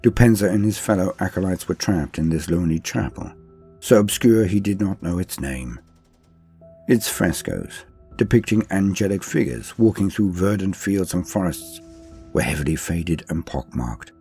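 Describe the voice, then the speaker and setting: A man's voice: deep voice, dark tone, breathy edge. A man giving a solemn, theatrical dramatic reading of a science fiction war story as its narrator.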